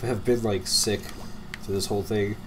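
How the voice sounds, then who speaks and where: A man's voice: fairly low pitch, fairly even intonation, moderate pace, fairly bright tone, slight background noise. A congested young man with a cold, rambling and laughing as he comments on a game he is playing. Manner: rambling, laughs often, trails off. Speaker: let's play commentator. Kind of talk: casual gameplay chat.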